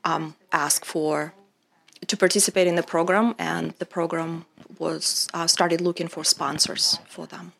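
A woman working as an interpreter, relaying answers in a measured way with pauses to find her phrasing. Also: fairly low pitch, flat delivery, creaky, a bit bright. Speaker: interpreter, English language teacher. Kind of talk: consecutive interpreting in third person.

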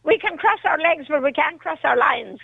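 Cheeky gravelly woman caller. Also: high-pitched, lively intonation, bright, forceful tone, no room sound. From County Limerick, Ireland. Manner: cheeky, quick quips, repeats herself. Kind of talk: cheeky call-in banter.

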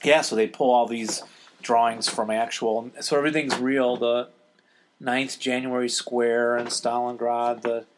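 A man's voice: mid-range voice, flat delivery, unhurried, a little hiss or hum. A man hosting a podcast, reading listener letters and chatting casually with an explanatory, enthusiast manner. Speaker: podcast host.

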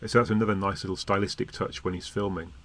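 A man's voice: low-pitched, little pitch movement, fast, fairly bright tone. A man discussing on a podcast, often restarting his words but articulate. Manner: stammering restarts, articulate and appreciative.

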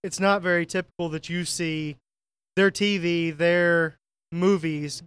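A man's voice: a little high, fairly even intonation, unhurried, fairly bright tone, smooth, no echo. A man co-hosting a casual podcast, making dry, wry remarks. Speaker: podcast co-host. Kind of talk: casual chat.

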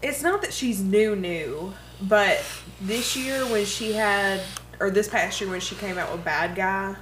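A woman co-hosting a casual podcast, explaining things in a relaxed way with lots of "like" and casual swearing. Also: mid-range voice, measured pace, noisy background. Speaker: podcast co-host. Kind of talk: casual chat.

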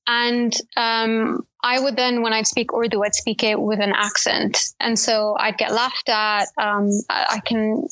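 A woman's voice: fairly high pitch, flat delivery, a little slow, few pauses, fairly bright tone, no echo, lo-fi sound. A young woman from Pakistan, raised in Saudi Arabia and the UK, talking thoughtfully as a guest in a casual interview. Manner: thoughtful, reflective, many fillers and restarts.

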